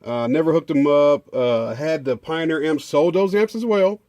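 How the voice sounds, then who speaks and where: A man's voice: on the high side, moderate pace, a little mellow, no echo, studio quality. A man, chatting casually as he unboxes car audio gear, rambling with lots of fillers and restarts.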